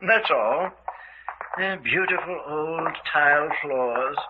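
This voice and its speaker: A man's voice: fairly high pitch, slow pace, noisy background. A man acting an older, genteel villain who rules a castle, smug and coolly menacing, taunting with dry chuckles in an old-time radio mystery style. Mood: genial hosting. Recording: dull, lo-fi radio drama recording.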